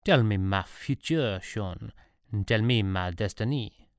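A man's voice: fairly low pitch, takes it slowly, dry recording, clean studio sound. A man doing a pompous emperor. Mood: grandiose. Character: grandiose male emperor, upbeat and domineering.

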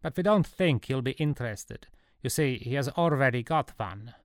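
A man's voice: fairly low pitch, expressive, normal speed, dry recording, clean studio sound. A man voicing a proud, middle-aged Swiss merchant, a slick hustler in an audiobook story. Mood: smug, salesmanlike.

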